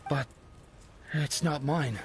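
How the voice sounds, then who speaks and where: An actor playing a middle-aged, grief-stricken and guilt-ridden man in a video game, halting and anguished. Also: on the high side, a little hiss or hum. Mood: mournful. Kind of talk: dramatic video game voice acting.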